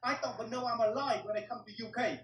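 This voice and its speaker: A man's voice: extremely high pitch, steady tone, racing, fairly bright tone. Blunt, profane man. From Vietnam. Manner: blunt, swears often, broken English. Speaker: martial arts teacher. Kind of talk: interview storytelling. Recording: roomy echo, distant mic.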